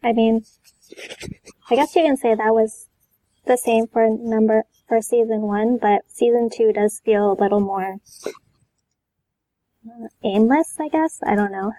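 A woman's voice: fairly high pitch, a little slow, long pauses, dark tone, low-quality recording. A woman in a casual chat as a podcast guest, speaking in a hesitant, tentative way. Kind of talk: casual chat about anime and manga.

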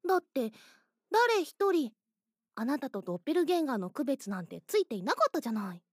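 A woman's voice: sits high, sing-song, a little fast, a little mellow, dry recording, studio quality. A woman playing an obsessive young woman in an audio drama, sweetly menacing and possessively loving. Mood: possessive, coaxing.

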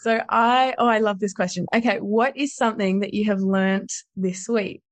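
A woman's voice: mid-range voice, normal speed, no echo. A woman hosting a podcast, chatting in an effusive, enthusiastic and casual way. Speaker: podcast host. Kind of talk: casual interview chat.

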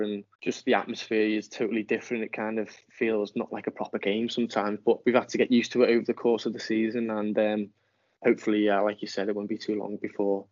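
A young man from near Liverpool talks in a low, fairly flat voice with a Liverpool accent, stumbling and restarting his sentences as he answers interview questions. The sound is dry and a little muffled. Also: no echo. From near Liverpool, England. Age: young adult. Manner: halting, many restarts. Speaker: student footballer guest. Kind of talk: casual interview chat.

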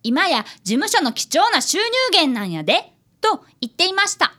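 A woman's voice: high-pitched, big pitch swings, moderate pace, bright, forceful tone, full character voice. A woman playing an earnest, polite fifth-grade boy detective apprentice in a radio drama, who also narrates. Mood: earnest narrating.

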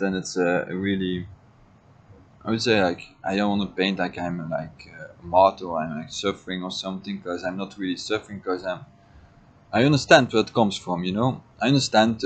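Man, young adult, very low voice, hesitant reflective monologue. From Belgium (Flanders). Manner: hesitant, many fillers and restarts.

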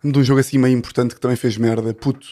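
A man's voice: medium pitch, level tone, quick pace, warm tone. A man, co-hosting a football chat, slangy and scattered with mock-serious jokes. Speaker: podcast co-host.

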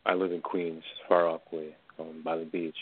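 Nervous first-time caller, male, early twenties, deep voice heard over a phone line. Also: little pitch movement, a crowd in the background. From New York. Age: 20s. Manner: hesitant, halting, nervous. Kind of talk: call-in radio conversation.

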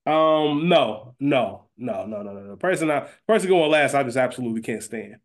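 A man's voice: mid-range voice, lively intonation, normal speed. A man chatting casually as a podcast co-host, explaining things in an informal, slangy way. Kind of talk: casual chat, fantasy draft banter.

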